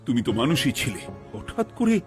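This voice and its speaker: A man voicing an excitable cartoon king who speaks in lordly exclamations. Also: mid-range voice, normal speed, close to an everyday voice. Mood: scolding. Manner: exclamatory, lordly scolding and praise. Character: excitable king, adult man, scolding yet appreciative. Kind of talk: cartoon voice acting. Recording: loud music behind the voice.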